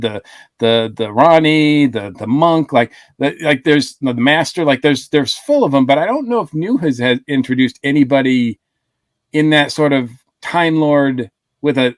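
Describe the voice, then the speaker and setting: A man's voice: on the high side, no echo. A man co-hosting a podcast, talking casually and hesitantly with many restarts.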